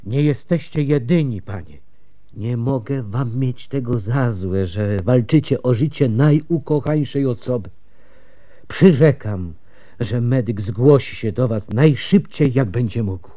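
An actor playing a weary, fatalistic city medic, measured, formal and calm, in a Polish radio drama. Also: male voice, medium pitch, dull audio, natural voice. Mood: calm, resigned.

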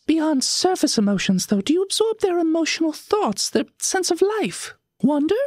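Woman as a high-pitched, precocious little girl. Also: very animated, moderate pace, a little mellow, dry recording, clean studio sound. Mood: probing. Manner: pedantic, formal, articulate. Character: precocious, bookish little girl, coolly inquisitive. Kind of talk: acted audio story dialogue.

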